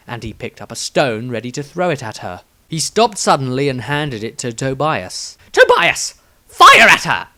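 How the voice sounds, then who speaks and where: A man reading a fantasy story as a dramatic audiobook narrator, with theatrical, deliberate emphasis. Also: mid-range voice.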